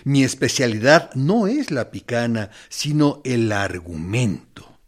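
An actor playing a smug military interrogator in a radio play, speaking in a theatrical, sardonic, mock-courteous way with quiet menace. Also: male voice, mid-range voice, big pitch swings. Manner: deliberate, sardonic, condescending, with theatrical pauses. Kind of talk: theatrical radio drama monologue.

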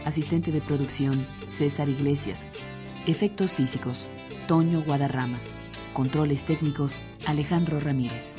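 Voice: mid-range voice, steady tone, moderate pace. An announcer reading scripted credits for a radio drama in a formal style. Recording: music under the voice.

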